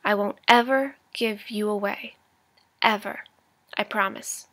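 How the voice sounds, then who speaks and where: A woman's voice: mid-range voice, fairly even intonation, a little slow, a bit bright. A woman voicing a stubborn, devoted ten-year-old boy in a storybook reading, raising her voice to sound eager and boyish. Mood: tender.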